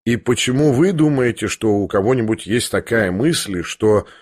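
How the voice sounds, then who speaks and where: A man voicing a gentle, uneasy, timid prince in a subdued, concerned manner for an audiobook. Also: lowish voice, animated, moderate pace, no room sound, clean studio sound. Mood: placating, uneasy. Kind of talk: dramatised audiobook character voice.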